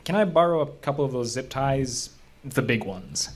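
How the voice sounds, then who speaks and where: An actor playing a stern, world-weary park ranger, dry, blunt and skeptical, in an audio drama. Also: male voice, medium pitch, expressive, normal speed, lightly acted. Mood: firm, stern. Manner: dry, blunt, matter-of-fact.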